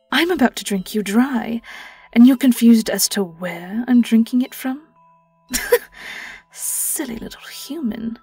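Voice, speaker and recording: Vengeful female vampire for audio roleplay, played by a woman: British, husky whispering, slow, theatrical, mocking. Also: on the high side, mellow, heavily acted. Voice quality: breathy, often whispered. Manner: taunting, theatrical, lingering on words. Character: vengeful young female vampire, sly, gloating and predatory. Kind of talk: dramatic audio roleplay monologue.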